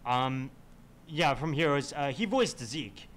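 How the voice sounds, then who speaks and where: A man's voice: mid-range voice, animated, slow pace, a bit bright. A man talking casually in a group podcast discussion, often fumbling his words and backtracking. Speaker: podcast panelist.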